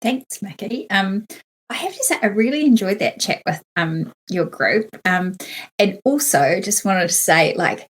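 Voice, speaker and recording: A woman, a guest talking in a relaxed, rambling way with many fillers. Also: mid-range voice, a little slow, slightly rough. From New Zealand. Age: 30s. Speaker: guest, former Olympian. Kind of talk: casual conversational interview.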